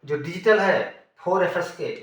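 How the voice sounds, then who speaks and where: A man's voice: sits high, moderate pace, fairly bright tone. A man with an Indian accent giving an informal technical lesson, explaining and repeating key terms. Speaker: teacher. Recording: echoey room, background noise.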